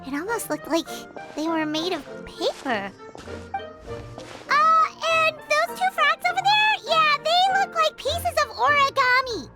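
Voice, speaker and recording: A woman voicing a tiny, chirpy, childlike fairy companion in a video game, excitable and squeaky. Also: unhurried, loud music behind the voice, full character voice. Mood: puzzled. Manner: speaks of herself in third person, excitable.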